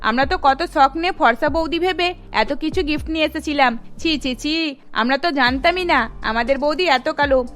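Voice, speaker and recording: Woman acting a haughty high-pitched young sister-in-law. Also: normal speed, a bit bright. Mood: scornful. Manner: snide, disdainful. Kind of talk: cartoon story voice acting. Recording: music under the voice.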